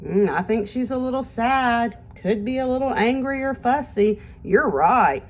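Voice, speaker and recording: A woman's voice: on the high side, lively intonation, unhurried. A woman teacher giving a read-aloud lesson to young children, speaking expressively in simple words and addressing them directly with questions and praise.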